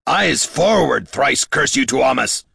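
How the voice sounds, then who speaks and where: Gruff old male mercenary commander for fantasy audiobook; heavy rasp, forceful bark. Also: fairly low pitch, unhurried, no echo, studio quality, heavily acted. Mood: angry. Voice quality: gravelly, raspy. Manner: barking orders, cursing.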